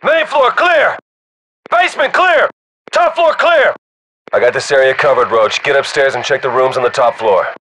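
A man playing a tense, tough special forces squadmate in a game, with clipped, forceful callouts. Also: medium pitch, steady tone, quick pace, few pauses, natural voice. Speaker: soldier in squad. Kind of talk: military game combat callouts.

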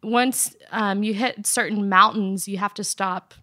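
A young woman from Afghanistan, a podcast guest telling her life story in an earnest, steady way. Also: mid-range voice, unhurried, dry recording, studio quality. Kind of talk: personal storytelling interview.

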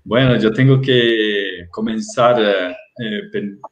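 Slow, halting Brazilian-accented man lecturing. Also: on the low side, lo-fi sound, slight background noise. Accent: Brazilian Portuguese. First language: Portuguese. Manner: halting, careful, frequent restarts. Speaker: guest lecturer. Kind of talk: online lecture with slides. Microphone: webcam on a video call. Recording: roomy video call audio.

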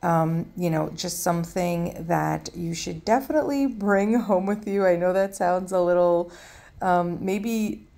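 A woman's voice: lowish voice, a little slow, gravelly, mellow. A woman from the United States, a travel vlogger, narrating a walking tour in a chatty, casual way with many fillers.

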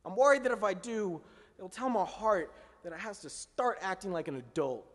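A man's voice: high-pitched, animated, moderate pace, fairly bright tone, in a large hall. A young man performing spoken word poetry on a small stage, speaking into a stand mic with rhythmic, emphatic delivery. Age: young adult. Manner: rhythmic, emphatic delivery with sweeping hand gestures. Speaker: slam poet. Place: small indoor stage in front of a window. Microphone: stand mic at mouth height.

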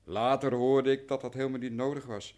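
A man's voice: medium pitch, flat delivery, fairly quick, a little mellow, natural voice. A man playing an anxious, deferential client in a radio drama, recounting things at length and meticulously. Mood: earnest, resigned. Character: long-suffering male client, anxious, deferential, talkative. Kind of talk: radio drama acting in Dutch.

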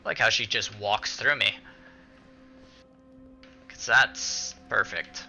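A man's voice: fairly low pitch, takes it slowly, creaky, pushed and bright. A man hosting a casual let's play, muttering, swearing and talking to the game's characters. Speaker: gaming video host. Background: game music.

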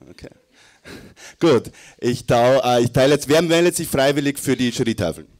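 A man's voice: medium pitch, moderate pace, darkish tone. A man hosting a poetry slam on a theatre stage, playful as he explains the rules to the crowd. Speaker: slam host.

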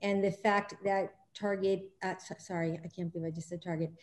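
A middle-aged woman interviewed as a guest over a video call, speaking upbeat with quick bursts. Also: mid-range voice, level tone. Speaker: guest, company founder. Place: home room.

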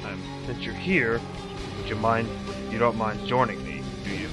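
A man's voice: fairly low pitch, fairly even intonation, normal speed. Upbeat young man hosting a game playthrough. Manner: upbeat, talks to viewers directly. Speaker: let's-play host. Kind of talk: casual game commentary, reading dialogue aloud. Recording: game music playing clearly behind.

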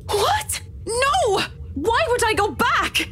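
An actress voicing a scared, sharp-tongued young woman in a visual novel game, snappy and incredulous. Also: extremely high pitch, sing-song, slow pace, slight background noise, full character voice. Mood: alarmed, indignant. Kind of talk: dramatic visual novel voice acting.

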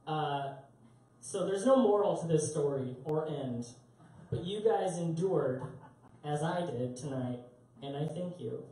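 Young guy doing standup, rambly and self-mocking, mellow voice, super echoey room, taped from far back. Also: on the high side, a little slow. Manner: rambling, self-mocking, laughs at his own stories. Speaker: stand-up comedian. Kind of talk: live stand-up storytelling. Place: small dim club stage, indoors. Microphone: handheld mic, heard through a far camera. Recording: roomy echo, distant live capture.

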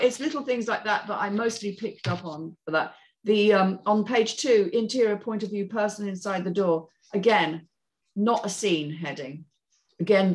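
Female panel host, middle-aged, emphatic and direct, talking through feedback on a video call. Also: on the low side. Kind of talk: informal spoken critique. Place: room at home on a video call. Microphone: video call computer microphone.

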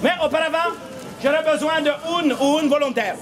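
A man's voice: extremely high pitch, brisk. A middle-aged man with a Québécois French accent, playfully working the audience as a street comedian in a theatrical, projecting voice. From Quebec, Canada. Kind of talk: comic street show patter to an audience. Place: outdoor cobbled street among an audience. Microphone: distant camera in the crowd. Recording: outdoor street recording with loud crowd.

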